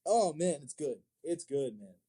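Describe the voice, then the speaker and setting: Very mellow-voiced man, casual and slangy. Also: a little high, sing-song, a little slow, slightly rough. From Africa. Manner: slangy, repeats short phrases, agreeable. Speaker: interview guest, manga artist and sculptor. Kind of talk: casual interview chat.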